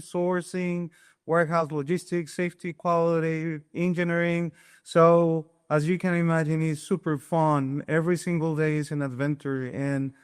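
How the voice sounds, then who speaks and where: Man from Mexico, 40s, Mexican Spanish accent; eager guest, smooth clear tone. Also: fairly high pitch, darkish tone. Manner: eager, restarts often, occasional chuckle. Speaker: guest, supply chain executive. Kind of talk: conversational interview answers.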